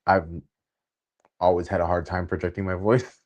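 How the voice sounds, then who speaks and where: A man hosting a casual interview chat, relaxed and laughing often. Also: deep voice, normal speed. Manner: laid-back, laughs often. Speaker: podcast host.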